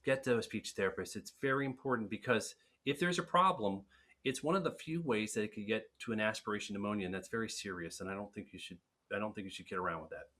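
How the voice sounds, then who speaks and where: A man's voice: on the low side, fast, pushed and bright. A man answering viewer questions on speech and swallowing, frank and direct, with frequent restarts. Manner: frank, advice-giving, with restarts. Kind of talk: casual webinar Q&A.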